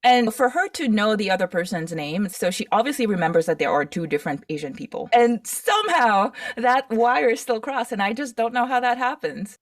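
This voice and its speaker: A woman co-hosting a podcast, telling stories in a casual, animated way and laughing often. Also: fairly high pitch, fairly quick, few pauses, dry recording, studio quality, distant mic. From Mauritian Chinese family background.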